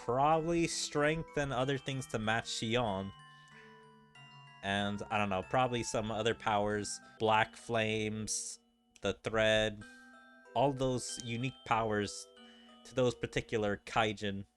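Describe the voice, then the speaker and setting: A man's voice: on the low side, fairly even intonation, takes it slowly. A man giving casual video game commentary as a streamer. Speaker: gaming streamer. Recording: game music behind the voice. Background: video game music.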